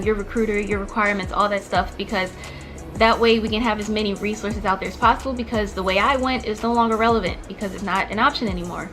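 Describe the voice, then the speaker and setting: A woman's voice: mid-range voice, fairly bright tone. Young woman chatting to her camera, lots of likes, music playing behind. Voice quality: chatty, many likes and restarts. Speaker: vlogger, former teacher. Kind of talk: casual chat to camera.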